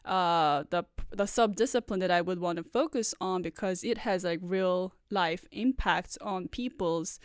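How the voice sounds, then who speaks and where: A woman in her thirties from South Korea, Korean her first language, speaks in a smooth, resonant voice close to a dry studio mic. She explains her ideas in a measured way, pausing often on "uh" and "like". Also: fairly low pitch, no echo. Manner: thoughtful, many "uh" and "like" fillers. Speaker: guest professor. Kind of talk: podcast interview.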